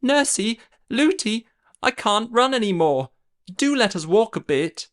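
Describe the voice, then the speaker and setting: A man voicing a small princess girl who chatters breathlessly in a high voice. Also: sing-song, unhurried, fairly bright tone, full character voice. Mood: tearful.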